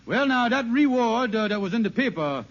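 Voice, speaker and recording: A sly American schemer from a vintage radio sitcom. He talks in a high, wheedling voice, hedging and coaxing in folksy grammar, on a muffled old recording. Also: expressive, brisk. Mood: cautious, wheedling. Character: scheming, smooth-talking male lodge brother angling for money. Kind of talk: 1950s radio sitcom acting.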